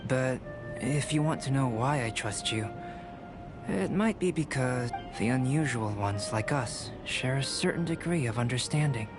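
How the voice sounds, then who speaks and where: Composed young scholar: even mid-range voice, measured and formal, over a game score. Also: male voice, medium pitch, music in the background. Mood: reflective. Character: calm, aloof young male alchemist, quietly curious. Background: game soundtrack.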